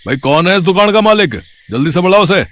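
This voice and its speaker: A man voicing a scornful village policeman in a storybook tale, gruff, bossy and sneering. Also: high voice, lively intonation, fast talker, fairly bright tone. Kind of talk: acted storybook dialogue.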